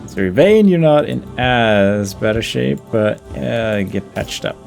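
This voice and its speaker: A man's voice: medium pitch, lively intonation, measured pace, creaky, darkish tone. A man giving casual game commentary, deliberating aloud and talking to himself and to the characters as he plays. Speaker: gaming video host. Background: game music behind the voice.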